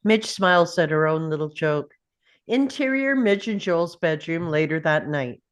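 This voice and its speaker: A woman reads scene headings and action lines from a script. Her voice sits fairly low, and she moves through the narration at a leisurely pace. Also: lively intonation, unhurried, clear, resonant tone. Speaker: reader of stage directions. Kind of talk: scripted narration, present-tense screenplay directions.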